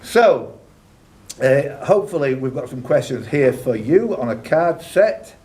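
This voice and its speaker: Male quiz host and question reader, medium pitch, bantering and teasing with players. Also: sing-song, unhurried, darkish tone. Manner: jokey, teasing asides to contestants. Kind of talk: live quiz hosting, reading questions with banter.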